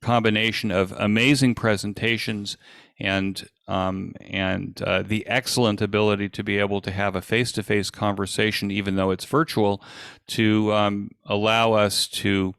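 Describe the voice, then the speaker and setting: Guy doing a casual online demo, gravelly fry in his voice, stop-and-start explaining, really dry sound. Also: lowish voice, level tone, normal speed, fairly bright tone, dry recording. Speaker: event organiser and presenter. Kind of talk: informal live software demo presentation. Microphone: online video call.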